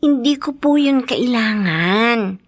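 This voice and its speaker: High-voiced, caring teenage daughter. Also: female voice, lively intonation, slow, dry recording, clean studio sound. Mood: gently chiding. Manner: earnest, gently chiding. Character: patient, caring fifteen-year-old daughter, gently scolding. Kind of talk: radio drama acting.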